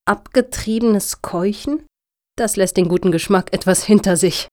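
A woman's voice: medium pitch, normal speed, a little mellow, no room sound, close to an everyday voice. Prim female juror for radio satire, played by an actress: middle register, close dry sound, opinionated. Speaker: actor in satirical radio play.

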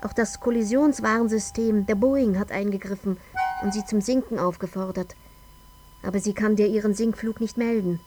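A woman's voice: fairly even intonation, moderate pace, over music, lightly acted. A woman playing a stern inquisitor in a radio drama, recounting events in a clinical, precise, accusatory way. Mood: accusing. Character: stern female inquisitor retelling a disaster to the dead controller. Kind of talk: scripted radio drama in second person. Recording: noisy with low hum.

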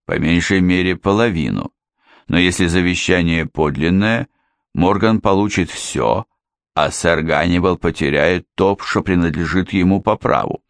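Man voicing a calm stern lawyer. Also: sits low, no echo. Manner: measured, calm, firm. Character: composed male lawyer, polite and stern. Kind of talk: audiobook character voice.

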